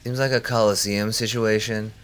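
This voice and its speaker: Deep-voiced man at a tabletop game. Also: level tone, moderate pace, fairly bright tone. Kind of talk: casual chat.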